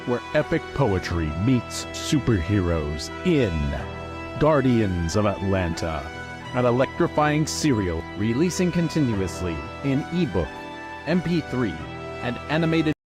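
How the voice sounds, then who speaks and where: A man's voice: medium pitch, sing-song, unhurried. A man delivering scripted promo narration in a grand, dramatic trailer style. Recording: prominent music bed under voice.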